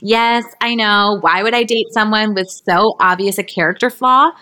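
A woman's voice: mid-range voice, steady tone, normal speed, pushed and bright. A woman on a podcast reading a comic first-person story aloud in a conversational way. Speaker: podcast reader.

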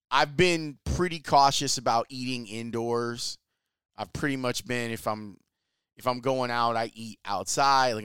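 Chatty guy telling a travel story, smooth clear voice, dry close sound. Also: medium pitch, a bit bright, no echo. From Chicago, US. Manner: chatty, enthusiastic, uses slang. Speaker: sports reporter.

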